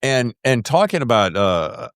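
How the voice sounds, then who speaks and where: A man hosting a podcast interview in a casual, effusive and animated way. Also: mid-range voice, measured pace, smooth, no echo, studio quality. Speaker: podcast host interviewing authors.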